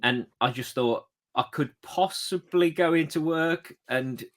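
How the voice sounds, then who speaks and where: A man's voice: on the high side, unhurried. A man hosting a podcast, chatting in a friendly, easygoing way. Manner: friendly, chatty, a few fillers. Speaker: podcast host. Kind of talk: casual chat.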